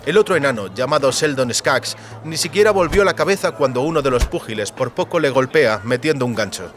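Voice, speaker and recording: A man's voice: mid-range voice, expressive, fairly quick, faint music behind. A man reading scripted narration for a fantasy audiobook.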